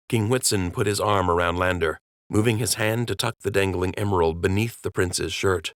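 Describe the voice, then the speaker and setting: A man narrating an audiobook adventure in a steady, clear storytelling manner. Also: low-pitched, no room sound, clean studio sound.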